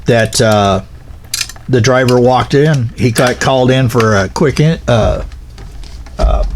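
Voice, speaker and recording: A man talking casually and instructionally in a folksy, rambling way, halting often and correcting himself as he explains practical matters. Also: medium pitch. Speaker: pilot car operator giving equipment advice.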